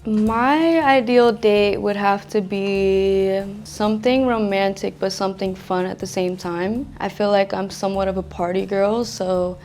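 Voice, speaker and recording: A young adult woman speaks in a casual, blunt and expressive manner as a contestant on a date. Her pitch is mid-range for a woman. Light music runs underneath her voice. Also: a little slow, hardly any pauses. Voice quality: deep voice for a woman. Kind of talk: casual chat.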